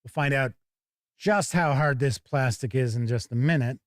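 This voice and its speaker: A man's voice: mid-range voice, moderate pace, no echo, clean studio sound. A man hosting a tabletop game review stream, chatting casually and thinking aloud as he comments on an unboxing.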